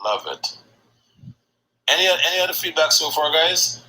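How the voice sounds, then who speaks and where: A man hosting a sales session, giving a brash motivational pitch and teasing his guests, with casual cursing. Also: lively intonation, normal speed, sharp tone, poor audio.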